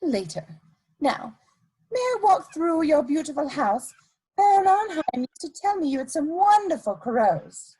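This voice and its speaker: A woman's voice: sits high, very animated, measured pace, warm tone, rough recording. A woman playing a witty, scheming society lady in a period stage comedy, speaking in an arch, coy, polished way. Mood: coy, teasing.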